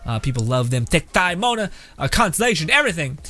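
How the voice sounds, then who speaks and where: A man's voice: fairly high pitch, very animated, fast talker, sharp tone, soft background music. A young man giving casual, rambling, joking let's-play commentary as a gaming streamer.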